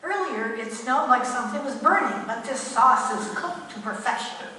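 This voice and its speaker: A woman's voice: on the high side, animated, normal speed, bright, forceful tone, distant mic. A woman playing a sweet, doting, oblivious wife in a comic radio play, sugary and affectionate. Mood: puzzled. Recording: echoey hall from afar, faint noise.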